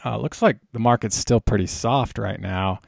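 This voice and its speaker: Male podcast host, gravelly lower register, dry sound, casual explanatory hobby chat. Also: normal speed, no echo.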